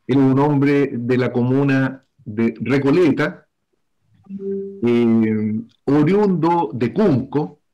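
A man's voice: mid-range voice, slow pace, mellow, lo-fi sound. A middle-aged man hosts a political talk show over a video call from a home room lined with books. He speaks through a headset, in a formal, roundabout way, with plenty of "eh" fillers as he lines up guests. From Chile. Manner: formal, wordy introductions with many fillers. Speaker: host.

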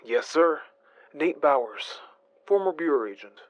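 A man playing a polite, higher-voiced night guard. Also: lively intonation, a little slow, no echo, low-quality recording. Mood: polite, curious. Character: polite, friendly male night-shift guard, former agent. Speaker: actor in an audio drama. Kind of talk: naturalistic audio drama dialogue.

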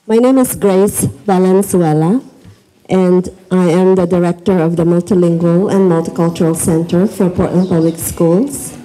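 A woman's voice: lowish voice, fairly even intonation, moderate pace, warm tone, rough recording. A middle-aged woman with a Filipino accent, giving an informal welcome speech into a handheld microphone as an event host in a school library. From the Philippines.

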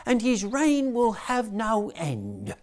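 A self-conscious little boy in a school nativity play, reciting his angel lines in a flat, deadpan voice. A man acts him out, pitched high and slowed down. He plods through each line as if reading from memory. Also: heavily acted. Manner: deadpan, flat, slow and halting.

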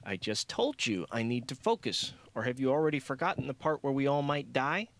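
A man's voice: medium pitch, expressive, a little fast, dry recording, pitched above the performer's own voice, close to an everyday voice. A man voicing a tense, exasperated woman with a curt, defensive manner in an audiobook. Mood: curt, angry.

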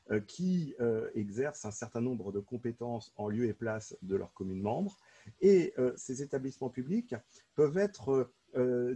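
Male consultant, French accent from France, mellow and husky, formal and hesitant webinar delivery. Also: mid-range voice. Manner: halting, measured, with drawn-out pauses. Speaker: consultant giving a presentation to local elected officials. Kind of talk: formal online lecture. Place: small room, video call.

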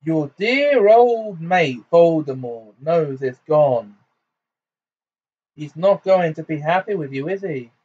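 A man voicing an angry, defiant teenage boy wizard in an audiobook, loud, mocking and goading. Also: a little high, a little slow, hardly any pauses, highs cut off.

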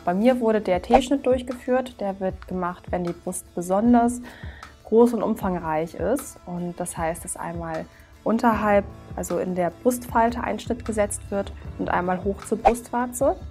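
A woman's voice: medium pitch, unhurried, dark tone, soft background music. A woman in her twenties earnestly telling her personal story and explaining things step by step to camera. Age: 20s. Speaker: interviewee. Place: indoor studio set, seated.

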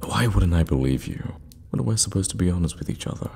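Man playing a very deep, seductive evil warlock. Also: sing-song, warm tone, slight background noise, full character voice. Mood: teasing, amused. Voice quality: breathy low murmur, often whispering. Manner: slow, teasing, halting for effect. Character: seductive, menacing, sadistic male evil warlock. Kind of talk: intimate ASMR roleplay acting. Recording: long reverberant cave-like echo.

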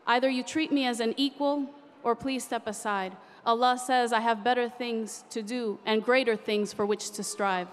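A young woman with a high voice recites rhyming spoken word poetry at a podium mic. Her delivery is rhythmic and impassioned, and it carries a long echo through a large convention hall. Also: steady tone, moderate pace, few pauses. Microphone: podium microphone.

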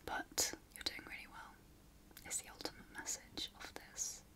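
A woman's voice: moderate pace, sharp tone. Whispering British woman, encouraging and affectionate. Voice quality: breathy, unvoiced whisper. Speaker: ASMR host. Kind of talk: whispered ASMR affirmations.

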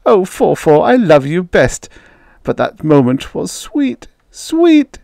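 Man playing a high-pitched, pleading young boy. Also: huge pitch swings, takes it slowly, creaky, a little mellow, no echo, studio quality, exaggerated voice. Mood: eager, wistful. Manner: breathless, exclamatory, childlike. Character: frightened, pleading young boy devoted to his robot.

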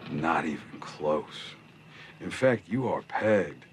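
An actor with an American accent playing a smug, cruel middle-aged gang leader in a TV drama, drawling and mocking with deliberate pauses. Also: male voice, extremely low pitch, animated, heavily acted. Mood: calm menace. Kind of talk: television drama villain monologue. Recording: TV drama clip with noticeable background noise.

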